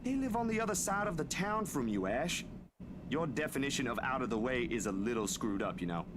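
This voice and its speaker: A man voicing an easygoing, protective young man in a visual novel, talking in a casual, gently teasing way. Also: mid-range voice, very animated, brisk, noisy background, close to an everyday voice.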